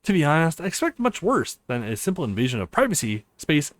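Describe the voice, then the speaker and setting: A man's voice: fairly high pitch, very animated, fast talker, dry recording. A man voicing a wry, long-suffering visual novel protagonist in a sarcastic manner. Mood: wary, sarcastic.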